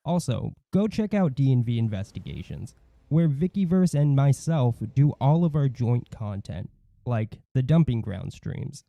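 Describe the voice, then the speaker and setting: A man's voice: medium pitch, creaky, dark tone, no room sound, lo-fi sound. A man hosting an online channel, reading scripted commentary in a snide, mocking manner. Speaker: YouTube channel host.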